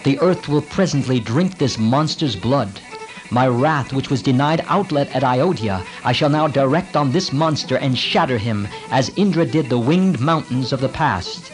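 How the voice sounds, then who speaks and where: A man's voice: medium pitch, lively intonation, moderate pace, faint music behind, close to an everyday voice, rough recording. A man voicing a wrathful, fiercely loyal young warrior prince in a storybook epic, in a declamatory manner. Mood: wrathful vow.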